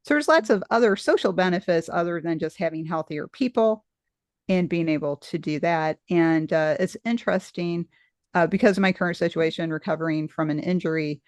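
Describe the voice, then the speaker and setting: A woman's voice: fairly low pitch, a little fast, dry recording, studio quality. A middle-aged woman hosting an interview, talking in a thoughtful, rambling way with frequent restarts. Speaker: podcast host interviewing a guest. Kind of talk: casual conversational interview.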